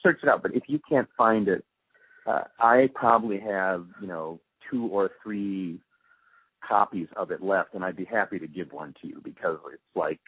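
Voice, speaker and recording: Deep-voiced man on a muffled phone line. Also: normal speed, husky, no room sound. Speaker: interviewee, radio drama post-production supervisor. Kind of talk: reminiscing in an interview.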